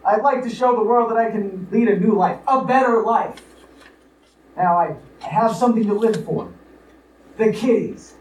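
A woman's voice: medium pitch, normal speed, a little mellow, natural voice. Woman as theatrical young man, exasperated, wisecracking, radio play, distant mic, faint noise. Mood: mock-repentant. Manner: theatrical, protesting. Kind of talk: live radio play acting. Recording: roomy, distant, faintly noisy stage recording.

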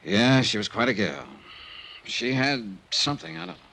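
A man's voice: medium pitch, sing-song, moderate pace, creaky, pushed and bright, exaggerated voice. An actor playing a weary, hardboiled male investigator in an old-time radio mystery, wry and clipped, also narrating in the first person. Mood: tired, matter-of-fact. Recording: noisy old radio drama recording.

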